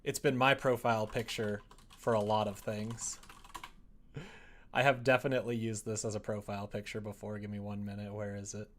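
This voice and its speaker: A man's voice: lowish voice, level tone, a little fast, a bit bright, slight background noise. A man in his late twenties chatting casually on a live stream, giggly and given to muttering to himself at his desk microphone. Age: late 20s. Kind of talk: casual live-stream chat while writing. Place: small indoor room at a computer.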